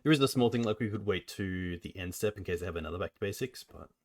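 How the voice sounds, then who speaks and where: A man with a notably low, deep voice talks through a card game. He is calm and analytical, walking through each play step by step in casual conversation. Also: fast. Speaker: streamer host. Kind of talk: casual gameplay chat.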